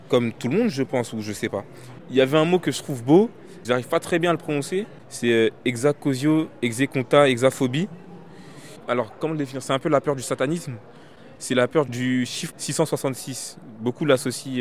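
Hesitant man answering questions casually. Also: mid-range voice. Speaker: interviewee.

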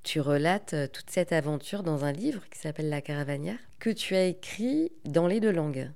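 A woman interviewer asking measured, precise questions. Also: deep voice, expressive, creaky, a little mellow.

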